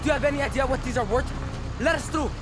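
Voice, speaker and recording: A woman's voice: high-pitched, very fast, a loud crowd, heavy background noise, close to an everyday voice. A woman playing a desperate, defiant older grandmother in a game, scolding and pleading. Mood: urgent, exasperated.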